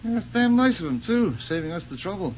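An actor playing a cynical, callous lover and accomplice, glib and wry, in a crime drama. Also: male voice, high-pitched, big pitch swings, fairly quick, a little hiss or hum. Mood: smug. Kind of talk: dramatic screen dialogue. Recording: muffled soundtrack under loud music.

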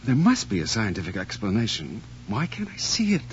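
An actor playing an obsessive, excitable radio tinkerer who mutters to himself and stammers when agitated, in a radio drama. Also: male voice, on the low side, fairly quick. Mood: puzzled. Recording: dull audio with faint hum.

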